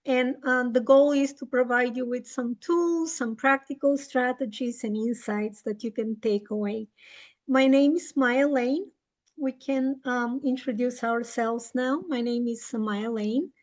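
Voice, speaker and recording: Teacher lady, fifties, high voice, lots of ums, on a video call. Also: fairly even intonation, a little slow. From Bulgaria. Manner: hesitant, with many ums. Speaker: webinar co-host, English teacher. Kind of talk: webinar introduction.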